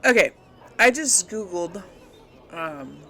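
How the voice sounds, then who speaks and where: A woman talks through a game in a casual, unscripted way, thinking aloud as she goes. Her pace is slow, with a medium pitch. There is faint noise and ambience behind her. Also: expressive, bright, forceful tone. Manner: rambling, thinking aloud, chuckling. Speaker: let's play host. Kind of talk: casual unscripted game commentary, talking to viewers.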